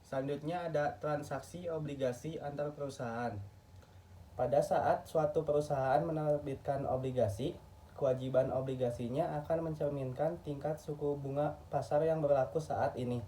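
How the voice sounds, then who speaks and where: A man's voice: fairly high pitch, steady tone, moderate pace, warm tone, slight background noise. A man from Indonesia reads a scripted lecture in a formal textbook manner, stumbling over a word now and then. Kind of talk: scripted lecture narration, read aloud. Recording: muffled, distant microphone.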